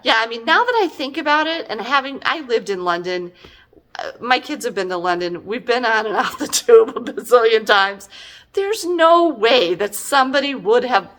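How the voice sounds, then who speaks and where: A woman's voice: fairly high pitch, lively intonation, normal speed, a bit bright. A middle-aged woman giving a casual, chatty book review in an exasperated, emphatic, conversational way.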